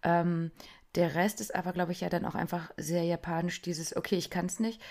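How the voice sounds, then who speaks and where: A woman's voice: fairly low pitch, steady tone, normal speed, vocal fry, a little mellow. A woman co-hosting a podcast, chatty and casual, with frequent fillers and laughter. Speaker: podcast co-host. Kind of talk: casual chat.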